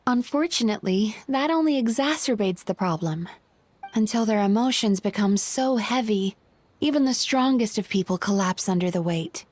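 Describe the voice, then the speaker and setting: A woman playing a gentle, caring spirit healer in a video game, speaking in a polite, thoughtful, counselling manner. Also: mid-range voice, animated, normal speed, close to an everyday voice. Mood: concerned.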